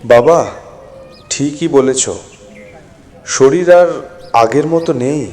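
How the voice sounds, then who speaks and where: A man playing a gentle, sorrowful old farmer father in a moral story, speaking expressively and with sighs. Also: on the high side. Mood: weary. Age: older. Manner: slow, sighing, expressive. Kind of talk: dramatized moral story voice acting. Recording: long hall-like reverb.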